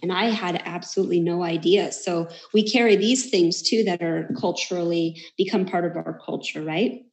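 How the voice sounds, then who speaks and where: A woman's voice: on the low side, normal speed, few pauses. An American woman hosting a casual online workshop discussion, chatty and encouraging, over a roomy video call. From United States. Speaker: workshop host.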